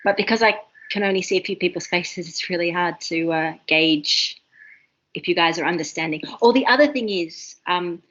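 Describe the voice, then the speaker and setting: Fast-talking Australian woman tutor, lo-fi. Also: fairly low pitch. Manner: fast, excitable, many ums and restarts. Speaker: university seminar tutor. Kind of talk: online seminar teaching. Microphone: video call.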